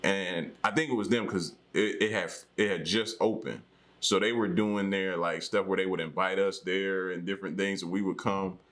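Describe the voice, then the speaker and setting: A man's voice: deep voice, fairly even intonation, moderate pace, a bit bright. An American man co-hosting a podcast, chatting casually and telling stories in a slangy, joking way. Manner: colloquial, slangy, joking storytelling. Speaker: podcast co-host. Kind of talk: casual conversational chat.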